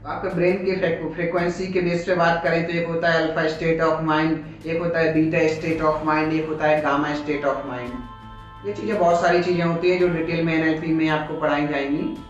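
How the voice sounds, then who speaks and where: A man with an Indian accent teaching in an explanatory, motivational lecture style, slowing down deliberately on English phrases. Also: on the high side, level tone, highs cut off. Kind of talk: motivational lecture on communication skills. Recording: very echoey room, music behind.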